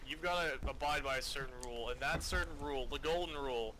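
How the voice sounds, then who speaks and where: A man's voice: a little high, fast talker. A foul-mouthed, bossy man giving an improvised mission briefing as squad leader over online game voice chat. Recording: loud background noise, game ambience.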